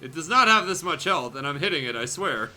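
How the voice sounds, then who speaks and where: Wry man with a very bright, forceful voice. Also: on the high side, big pitch swings, fairly quick, rough recording. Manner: sweary, wry. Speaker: streamer and show host. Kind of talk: casual game stream chatter. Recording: music playing faintly behind the voice.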